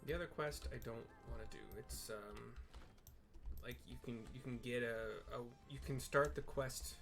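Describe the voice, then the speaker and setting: Creaky-voiced man casually commentating on gameplay. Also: mid-range voice, a bit bright, soft background music. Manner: halting, thinking aloud. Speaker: video game player commentating.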